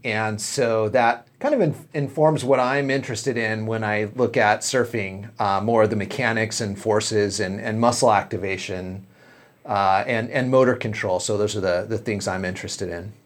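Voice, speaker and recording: A man from Southern California, a university department chair, introducing himself in a measured, matter-of-fact way. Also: fairly low pitch, steady tone. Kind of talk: conversational interview answers.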